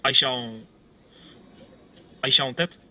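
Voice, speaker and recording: A man's voice: mid-range voice, steady tone, slow, bright, forceful tone. A man telling his own story on video, rambling and hesitant, with repeats and nervous laughs. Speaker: ex-believer giving a testimony video. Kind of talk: casual video testimony.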